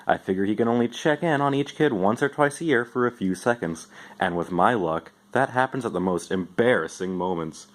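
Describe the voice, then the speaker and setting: A man with a fairly low voice giving an expressive amateur storybook reading in the first person. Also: fairly quick. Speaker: amateur reader.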